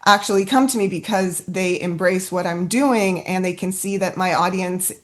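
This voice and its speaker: A woman's voice: fairly low pitch, moderate pace. A woman musician answering a panel question in an earnest, casual way, often saying "you know" and "like".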